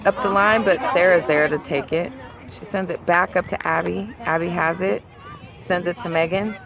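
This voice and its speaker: Hoarse woman, sideline play-by-play, gravelly voice, outdoor crowd noise, traffic behind. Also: fairly low pitch. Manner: rapid play-by-play, rising excitedly at key plays. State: losing her voice. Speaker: amateur sideline commentator. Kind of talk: play-by-play commentary. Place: outdoors at a soccer field.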